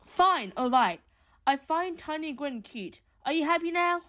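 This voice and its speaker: Woman playing bickering blunt girl with secret soft side, high voice, audio drama. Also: animated, a little slow. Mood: grudging. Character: blunt, argumentative, grudgingly caring woman.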